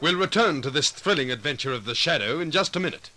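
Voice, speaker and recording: A man's voice: on the high side, expressive, fast, sharp tone, faint music behind. An actor playing a dramatic, urgent male announcer of a 1940s radio serial. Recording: vintage radio broadcast recording.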